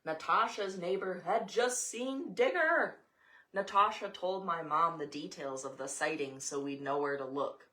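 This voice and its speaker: A woman's voice: lowish voice, a little slow, bright, forceful tone. An American woman reading a story aloud, with casual asides along the way. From Montana, USA.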